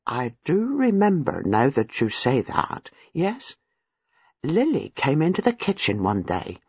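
A man's voice: a little high, huge pitch swings, a little slow, slightly rough, dry recording, lo-fi sound, heavily acted. A man voicing a nervous, apologetic older female former servant, hesitant and deferential in an audiobook, with rambling rustic turns of phrase. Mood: reminiscing, flustered. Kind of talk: audiobook character dialogue.

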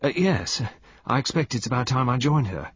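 A man's voice: medium pitch, expressive, moderate pace, lo-fi sound, a little hiss or hum, pitched above the performer's own voice, close to an everyday voice. A man doing an affable hearty husband.

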